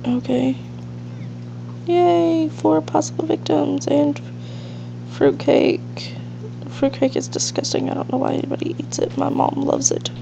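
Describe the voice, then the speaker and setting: A high-voiced woman hosts a casual gaming let's play, sounding nasal and congested from allergies, with heavy vocal fry throughout. She rambles in an unhurried way, and the home recording is a little dull, with faint hiss. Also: dark tone. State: stuffed up with allergies. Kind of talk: casual gameplay commentary.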